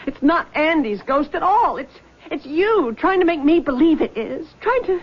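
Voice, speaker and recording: An actress playing a haunted, distraught young daughter with intense, overwrought delivery in a 1970s radio drama. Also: female voice, high voice, big pitch swings, normal speed. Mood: agitated, accusing. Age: young adult. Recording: dull lo-fi vintage radio recording.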